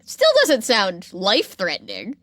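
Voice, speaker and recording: A woman's voice: mid-range voice, wildly swooping pitch, unhurried, sharp tone, no room sound. Casting: female podcast host, mid-range voice, casual and wry, close dry recording. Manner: wry, playful asides. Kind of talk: casual conversational storytelling with commentary.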